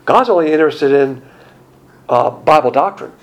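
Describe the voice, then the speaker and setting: A middle-aged man preaching a sermon, animated and conversational, with colloquial asides and acted-out quotes. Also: on the high side, normal speed. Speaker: pastor.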